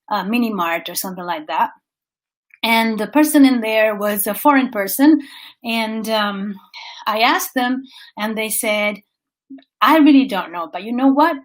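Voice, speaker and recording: Lively Venezuelan-born woman telling a road story. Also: on the high side. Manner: animated, restarts phrases, briefly sings place names. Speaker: vlogger and coach. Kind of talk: casual storytelling livestream.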